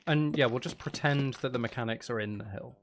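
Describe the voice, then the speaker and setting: A man's voice: medium pitch, a little fast, slight background noise. A man chatting casually on a gaming livestream, thinking aloud as he builds and bantering playfully with viewers, with some mild swearing. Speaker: livestream host.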